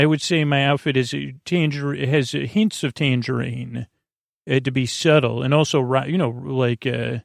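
A man with a gravelly voice hosts a bedtime podcast, rambling gently and haltingly through absent-minded tangents and often trailing off mid-sentence. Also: no room sound, studio quality. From United States. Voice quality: gravelly, creaky. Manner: gentle, low-key.

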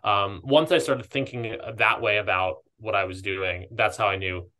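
Bright, forceful low-pitched man. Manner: halting, thoughtful, hedges and rephrases ideas. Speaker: podcast host. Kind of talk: casual reflective podcast conversation.

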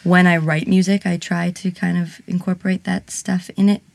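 Woman, low and gravelly, frank interviewee, laughs easily, dry sound. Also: flat delivery, moderate pace, a little mellow, no echo. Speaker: burlesque singer, interviewee. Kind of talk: candid interview conversation.

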